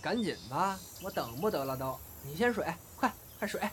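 Man playing a lively, cheeky young crosstalk comedian. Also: on the high side, moderate pace. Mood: grudging, impatient. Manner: theatrical, teasing, playful. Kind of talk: crosstalk comedy dialogue in audio drama. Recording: loud background noise.

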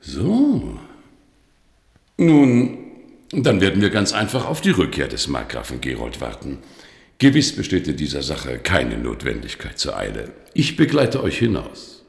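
An actor playing a worldly, evasive medieval bishop with an unctuous, formal manner in a radio drama. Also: male voice, huge pitch swings, unhurried, full character voice. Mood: evasive, uneasy. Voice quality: gravelly, very deep. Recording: reverberant stone-hall sound.

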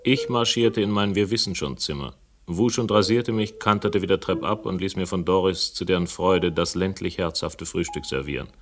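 A wry, defiant detective in a radio crime drama. His voice sits fairly low, and he talks in a dry, wordy, sardonic way, as if worn down by the world. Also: normal speed, fairly bright tone, a little hiss or hum. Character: wry, world-weary male detective narrator, cheeky and defiant. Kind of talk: German radio crime drama acting and first-person narration.

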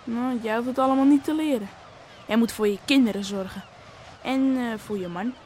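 A woman's voice: a little high, moderate pace, a little mellow, slight background noise, natural voice. A woman playing a cocksure young fisherman's son, bossy and teasing, in a Dutch radio play. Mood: bossy, matter-of-fact.

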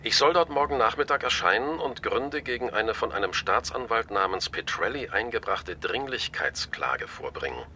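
An actor playing a shrewd media boss in a radio drama, polite but pointed, wry and authoritative. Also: male voice, on the low side, animated, normal speed, few pauses, bright, forceful tone, a little hiss or hum. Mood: pointed, businesslike. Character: brisk, shrewd male media boss, wryly amused, decisive.

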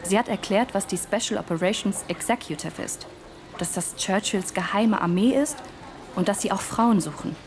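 A woman's voice: medium pitch, normal speed, music in the background, natural voice. An actress playing an earnest, polite young woman in the wartime resistance, chatty and eager, in a naturalistic radio drama. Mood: candid, earnest.

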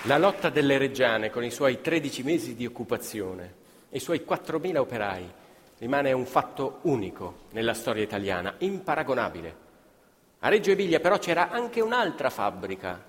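An actor playing a proud, declamatory storyteller of workers' struggles in a radio theatre piece. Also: male voice, mid-range voice, very animated, moderate pace, lightly acted. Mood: storytelling. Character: proud male storyteller recalling workers' struggles, breaking into shouted headlines. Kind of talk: stage radio theatre narration in Italian. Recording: reverberant hall, faint live music behind. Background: faint live music.

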